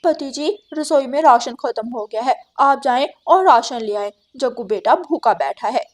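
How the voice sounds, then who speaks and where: A woman puts on a crafty crow wife for a children's cartoon. Her voice is high and insistent, with a complaining edge. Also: animated, moderate pace. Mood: aggrieved.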